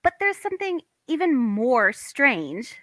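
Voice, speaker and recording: Woman playing a bright-voiced, wistful wife. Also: high-pitched, very animated, slow pace, dry recording, clean studio sound, exaggerated voice. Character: melancholy young wife, wistful over faded fame. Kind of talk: live cold table read of a stage comedy.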